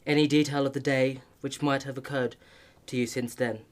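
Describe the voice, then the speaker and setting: A man plays a brisk police superintendent in a radio drama. He has a medium-pitched voice and talks in clipped, businesslike phrases, probing as an old friend might. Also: little pitch movement, close to an everyday voice. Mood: probing concern. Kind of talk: radio play acting.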